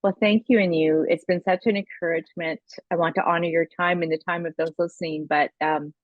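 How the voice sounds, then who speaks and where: A woman's voice: on the low side, steady tone, fairly quick, dry recording. A woman hosting a conversational interview, effusive and affirming, over a narrow, call-like connection. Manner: affirming, effusive, gropes for words in lists. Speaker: podcast host.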